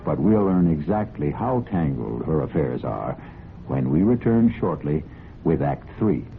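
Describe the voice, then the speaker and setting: Deep-voiced male radio mystery host, tinny broadcast. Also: very animated, a little slow, gravelly, faint music behind. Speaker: radio drama host and narrator. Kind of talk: suspenseful scripted 1970s radio narration.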